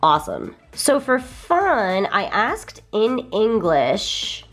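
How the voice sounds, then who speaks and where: A young woman talks through a language app in a bubbly, enthusiastic vlog style. Her pitch jumps around animatedly as she chats. Soft music plays faintly underneath. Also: very animated, slow. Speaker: vlogger reviewing a language app. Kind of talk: casual sponsored app walkthrough.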